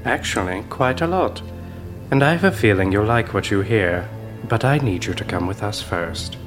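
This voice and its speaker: A man playing a composed, authoritative, quietly menacing officer in an audio drama. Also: lowish voice, lively intonation, moderate pace, music in the background, natural voice. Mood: coolly commanding. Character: composed, commanding male officer of a menacing police pack.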